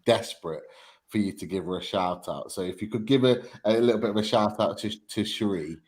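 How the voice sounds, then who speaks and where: Low-voiced man, hesitant co-host. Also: brisk, darkish tone. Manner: hesitant, fumbling with fillers. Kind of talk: casual chat.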